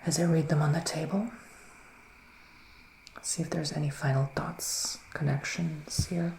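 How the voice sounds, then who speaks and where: A man doing a whispered ASMR roleplay as a gentle, reassuring witch who gives psychic readings, soft-spoken and soothing with pauses. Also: fairly high pitch, flat delivery, creaky, roomy sound, background noise. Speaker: ASMR performer playing a psychic reader.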